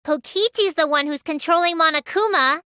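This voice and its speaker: A woman voicing a small, childlike teenage girl mage in a video game, high and girlish, sulky and excitable with a whiny edge. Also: normal speed, no room sound, clean studio sound. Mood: startled, confused. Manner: whiny, stammers when startled. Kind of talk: English game dub voice lines.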